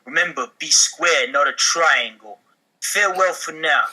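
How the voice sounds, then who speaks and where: A man's voice: a little high, a little slow, bright, forceful tone, husky, heavily acted, rough recording. A man acting a slick, pushy, sleazy mafia recruiter in an amateur comedy table read. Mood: pushy, warning.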